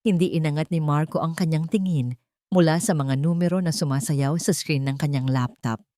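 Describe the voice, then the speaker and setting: A woman's voice: low-pitched, fairly even intonation, moderate pace, dark tone, no echo. A woman narrator reading a scripted romance story in a dramatic storytelling style.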